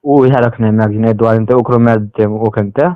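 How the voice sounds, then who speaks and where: A man's voice: fairly low pitch, fairly even intonation, moderate pace, smooth, no room sound. A man with a Bihari accent from Mithila, talking informally on a recorded phone call. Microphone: telephone.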